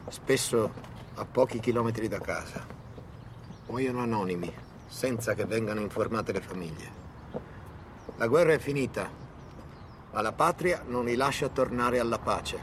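A man's voice: on the low side, moderate pace, pauses often, a little hiss or hum. A man reading scripted documentary narration in a dramatic, rhetorical way. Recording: music under the voice. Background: music.

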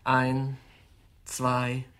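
An actor playing a scheming young nephew in a German radio crime comedy, polite, soothing and falsely solicitous. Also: male voice, mid-range voice, completely flat delivery, very slow, a bit bright. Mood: feigned innocence. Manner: polite, soothing, smooth-tongued.

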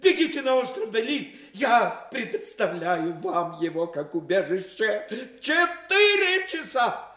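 Radio drama: honourable, impassioned aristocrat, declamatory, extremely high-pitched, wide intonation, roomy echo. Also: male voice, sing-song, measured pace, pushed and bright, exaggerated voice. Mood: urgent, resolute. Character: honourable, outraged male nobleman, passionate and proud. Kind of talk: Russian radio play acting. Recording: roomy, echoing radio play sound.

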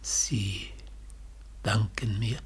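Mysterious stranger, radio drama: very breathy, slow, softly menacing. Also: male voice, fairly low pitch, fairly even intonation, exaggerated voice. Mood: ominous, hushed. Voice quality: very husky, breathy. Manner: slow, soft, insinuating. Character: mysterious, quietly menacing man delivering a parcel. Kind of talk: German literary radio play acting.

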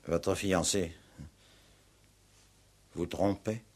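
An actor playing a probing, insistent, ironic police commissioner in a 1960s French radio play. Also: male voice, low-pitched, steady tone, very slow, heavily acted. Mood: probing, formal. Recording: old 1960s radio recording.

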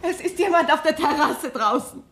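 An actress playing a frightened, insistent wife in a German radio crime thriller, anxious and pleading. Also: female voice, sits high, lively intonation, moderate pace, slight background noise. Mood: panicked. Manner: anxious, pleading, breaking into hushed tones. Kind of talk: German radio drama acting.

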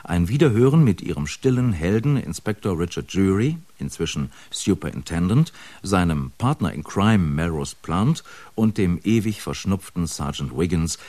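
A man announcing upcoming radio crime dramas in a smooth, scripted trailer narration. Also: low-pitched, big pitch swings, moderate pace, a little mellow, no room sound.

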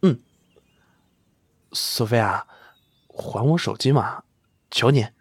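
An effusive, animated young idol. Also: male voice, medium pitch, takes it slowly, slightly rough, dry recording, exaggerated voice. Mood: admiring, pleading. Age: young adult. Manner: gushing, pleading, swinging from excited to earnest. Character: effusive, devoted young male celebrity idol, boyishly clingy. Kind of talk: Chinese audio drama acting.

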